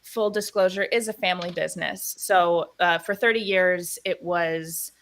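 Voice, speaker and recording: A woman's voice: lowish voice, fairly even intonation, a little slow, fairly bright tone. A woman in her thirties chatting in an interview as a guest, talking at length and laughing often. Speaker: guest, actor and producer. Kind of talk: casual interview chat.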